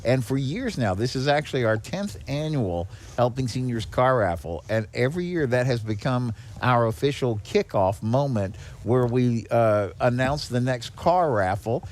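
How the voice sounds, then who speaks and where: A man's voice: mid-range voice, animated, normal speed, hardly any pauses, a little mellow. A man speaking as an interview guest in a casual, explanatory way, upbeat and appreciative. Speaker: guest, charity executive director. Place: on location at a garage restaurant. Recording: clear background noise on location.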